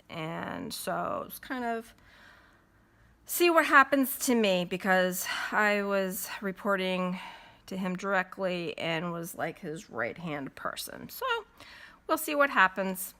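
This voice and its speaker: Woman, congested, slow talking, lowish voice, casual stitching vlog at home. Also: pushed and bright, clean tone. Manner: unhurried, chatty, friendly. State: congested from a cold. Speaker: cross-stitch vlogger. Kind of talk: casual chat while stitching. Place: at home with windows closed.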